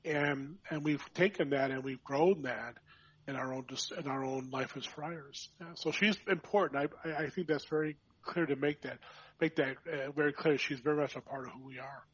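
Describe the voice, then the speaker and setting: Husky-voiced priest, reflective and warm-hearted. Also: male voice, medium pitch, fairly even intonation, moderate pace, no room sound, lo-fi sound. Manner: reflective, with frequent restarts and fillers. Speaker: priest and vocation director. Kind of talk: conversational podcast chat.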